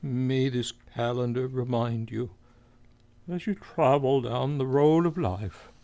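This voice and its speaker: An actor playing a genial, courtly undertaker with morbid wit, in the formal, drawn-out style of 1940s radio comedy. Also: male voice, medium pitch, warm tone, dry recording. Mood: cheerfully solemn. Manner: formal, unhurried, drawn-out delivery with morbid puns.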